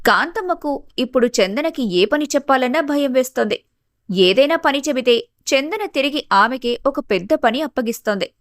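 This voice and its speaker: A woman's voice: sits high, lively intonation, moderate pace, no echo, studio quality. A woman giving scripted narration of a family story.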